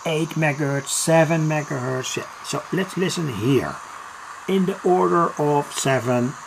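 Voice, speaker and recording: A man giving an unscripted hobby radio demonstration, halting and thinking aloud as he works. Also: a little high, gravelly, background noise.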